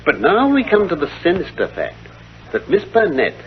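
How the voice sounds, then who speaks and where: A man playing a self-assured British gentleman detective in a vintage radio drama, speaking in precise, formal explanations. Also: sits high, wildly swooping pitch, normal speed. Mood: firm deduction. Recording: dull, lo-fi vintage radio recording.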